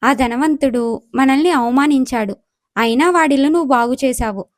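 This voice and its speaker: Very high-voiced impatient young goddess, woman acting. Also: moderate pace, no echo, studio quality, lightly acted. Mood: indignant. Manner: complaining, questioning. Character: impatient, indignant younger goddess, questioning and innocent. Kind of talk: acted moral story dialogue.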